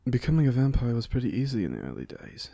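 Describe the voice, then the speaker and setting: Man playing a gravelly, theatrical British vampire. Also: medium pitch, very animated, fast talker, dark tone, no room sound, clean studio sound. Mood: wry, confiding. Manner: theatrical, genteel, jokey, conversational. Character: playful, chatty 127-year-old male vampire being interviewed. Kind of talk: ASMR audio roleplay.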